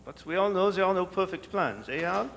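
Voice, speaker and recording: Suave, high-voiced French villain. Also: male voice, animated, a little fast, creaky, fairly bright tone, full character voice. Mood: smug. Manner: courtly, verbose, sardonic. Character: suave, scheming French male villain, greedy and smug. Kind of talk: staged radio drama performance. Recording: live hall, long reverb, noisy.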